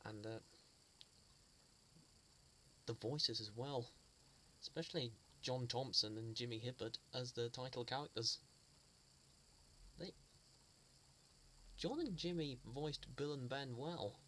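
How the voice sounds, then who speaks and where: A man fondly recalling a children's show he loves, speaking in an earnest, halting way with frequent restarts and repeated words. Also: mid-range voice, sharp tone, a little hiss or hum, poor audio. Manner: earnest and fond. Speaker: fan sharing memories. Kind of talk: casual spoken reminiscence.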